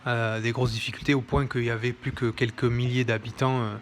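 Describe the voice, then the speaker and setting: A man's voice: medium pitch, flat delivery, fairly quick, slight background noise. A man presenting a history book in an informal, hesitant commentary, with many fillers and self-corrections. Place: home near an airport.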